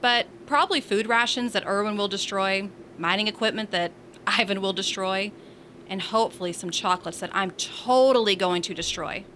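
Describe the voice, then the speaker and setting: A woman's voice: medium pitch, normal speed, pushed and bright, natural voice. Woman playing a cheeky space crewmate over ambient noise. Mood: easygoing, wry. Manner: wry, teasing, casual. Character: cheeky, easygoing female station crew member. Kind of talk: sci-fi audio drama acting. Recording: noisy ambience behind the voice.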